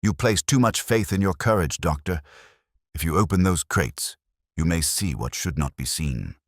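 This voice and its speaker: A man voicing a coolly grandiose, fanatical murderer in an audiobook, with a calm, menacing, declamatory delivery. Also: deep voice, moderate pace, dry recording, studio quality. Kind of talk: dramatized audiobook villain.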